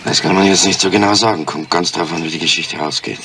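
An actor playing a stubborn, sardonic criminal in a crime radio play, talking bluntly and guardedly. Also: male voice, sits low, fast talker, gravelly. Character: hard-boiled male criminal, stubborn, sardonic, bargaining with police. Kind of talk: crime radio drama acting. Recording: noisy old radio play.

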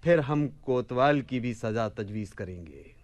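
An actor playing a bewildered young merchant taken for a caliph, with blustering, theatrical delivery in a radio play. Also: male voice, mid-range voice, lively intonation, measured pace, lightly acted. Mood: commanding. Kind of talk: radio play acting in theatrical Urdu-flavoured dialogue.

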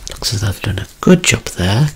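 A man in his thirties doing a soft-spoken ASMR medical roleplay as a doctor, with a reassuring clinical bedside manner, close up in a small room. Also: fairly low pitch, very animated, brisk, breathy edge. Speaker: ASMR creator acting as a doctor.

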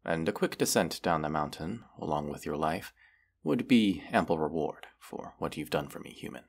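A man's voice: very deep voice, animated, creaky, husky, full character voice. A man voicing a proud, mighty dragon in a fantasy roleplay, haughty and formal in manner. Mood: coy, possessive. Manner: haughty, deliberate, formal phrasing with menacing undertone. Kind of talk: fantasy roleplay audio acting.

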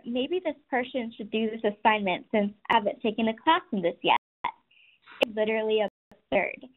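A soft-spoken woman in her twenties giving a scripted presentation, telling stories and speaking directly to listeners. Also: fairly high pitch. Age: 20s. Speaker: webinar presenter. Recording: tinny phone-like audio.

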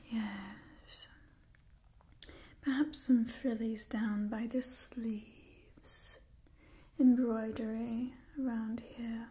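A young woman playing a haughty, doting immortal vampire in a whispered ASMR roleplay, speaking softly and breathily in a refined, coaxing way. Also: on the high side. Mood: haughty, approving. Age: young adult. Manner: slow, refined, coaxing, faintly imperious. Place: small indoor set with wooden backdrop. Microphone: close mic, out of frame. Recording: close-miked whisper, noticeable hiss, soft clicks.

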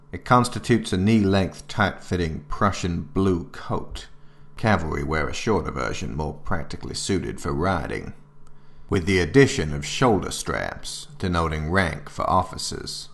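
A man playing a stern army officer reciting regulations, formal, authoritative and deliberate, in scripted audio drama narration. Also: a bit bright, exaggerated voice. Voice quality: deep, gravelly.

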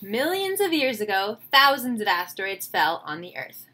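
A woman, reading a picture book aloud to children with expressive, enthusiastic, chatty asides. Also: medium pitch, normal speed. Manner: expressive, enthusiastic, questioning the listeners. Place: small home room, indoors.

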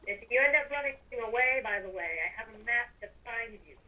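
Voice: medium pitch, animated, fairly quick, pushed and bright, far from the mic, highs cut off. A performer voicing a breezy villain's helper in an improvised game roleplay, casually handing out advice. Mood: casual advising. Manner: casual, advising. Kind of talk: improvised Minecraft roleplay chat.

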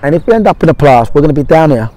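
A man's voice: on the high side, expressive, rapid-fire, dark tone, rough recording. A middle-aged man giving casual post-match talk as a football manager, blunt and matey, repeating short phrases for emphasis. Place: outdoors on an empty football pitch by a small stand.

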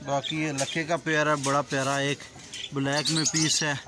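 A man's voice: fairly high pitch, level tone, normal speed. A man with a Pakistani Urdu accent touring an outdoor bird market on his phone, chatting casually and asking prices politely. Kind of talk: casual walking vlog with price haggling. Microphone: phone camera held while walking. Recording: loud street noise and bird chirps behind.

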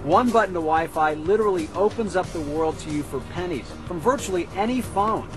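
An American man in his thirties presents an infomercial in a high voice. He is emphatic and persuasive, working through a scripted pitch with music playing behind him. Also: brisk. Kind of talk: scripted sales pitch to camera.

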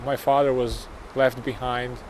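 A man's voice: medium pitch, level tone, unhurried, darkish tone, rough recording. A young man from Bosnia and Herzegovina, a native Bosnian speaker, speaking thoughtfully in a documentary interview, often repeating words. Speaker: band drummer. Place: outdoors by a graffiti wall. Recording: outdoor noise behind.

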